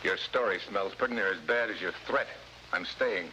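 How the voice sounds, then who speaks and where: Tough american gov agent, low voice, dry wisecracks, noisy old 50s tv audio. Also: male voice, normal speed, sharp tone, dull audio. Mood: defiant, dry. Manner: hard-boiled, terse, sardonic. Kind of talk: early 1950s TV adventure acting. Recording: noisy old 1950s television soundtrack.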